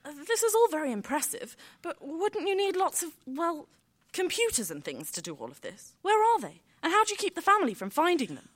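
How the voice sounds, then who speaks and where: A wide-eyed canine newcomer, acted by a woman, high in pitch and swooping dramatically up and down. She is inquisitive and easily amazed, with a wry edge, in live audio-theatre style. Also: sing-song, a little fast, fairly bright tone, exaggerated voice. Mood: puzzled. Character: curious, wide-eyed female dog newcomer.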